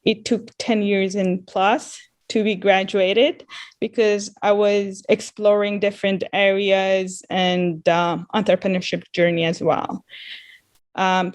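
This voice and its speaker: A woman in her thirties from Iran, raised in Dubai, speaking earnestly as a guest in an interview about founding her company, often repeating words as she restarts. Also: medium pitch. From Iran, grew up in Dubai. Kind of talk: casual interview.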